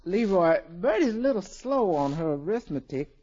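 A husky, animated housekeeper, practical and good-humored. She is folksy and wry, delivering lines in vintage radio comedy style. The recording is dull and old. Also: fairly low pitch. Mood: wry, skeptical. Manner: folksy, wry, deliberate punchlines. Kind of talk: 1950s radio comedy acting.

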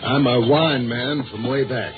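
An actor playing a sly middle-aged office rival in a 1950s radio drama, needling someone with smooth false friendliness. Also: male voice, mid-range voice, unhurried, natural voice. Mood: falsely jovial. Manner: smooth feigned friendliness, taunting insinuation. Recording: tinny old radio broadcast with music.

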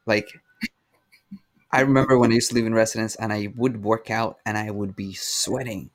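Man, low voice. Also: steady tone, moderate pace. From Venezuela. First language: Spanish. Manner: enthusiastic, complimentary, stammers on restarts. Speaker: host. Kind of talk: casual interview chat.

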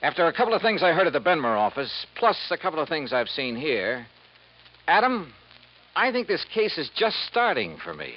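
A man's voice: fairly high pitch, huge pitch swings, normal speed, noisy background. An actor playing a brash, shrewd male insurance investigator in a 1950s radio drama, with a wry, clipped, hard-boiled delivery. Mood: wry, confident. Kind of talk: 1950s radio drama acting with first-person narration. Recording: muffled 1950s radio recording with music.

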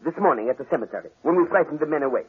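Self-assured male detective, French accent, husky voice, animated swooping delivery, muffled old 1940s radio recording. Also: fairly high pitch, racing. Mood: explaining, knowing. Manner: theatrical, precise, formal phrasing. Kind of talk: 1940s radio mystery acting.

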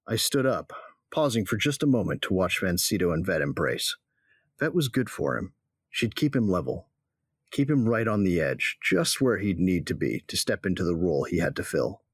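A man's voice: low voice, big pitch swings, moderate pace, breathy edge, dry recording, studio quality, exaggerated voice. A man playing a wry bounty hunter. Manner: wry, laid-back first-person narration. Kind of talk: first-person audio drama narration.

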